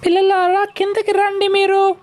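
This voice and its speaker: A woman's voice: very high-pitched, completely flat delivery, moderate pace, over loud music. A woman voicing a bossy, playful young girl pig in a children's cartoon, with a childlike, pleading way of talking.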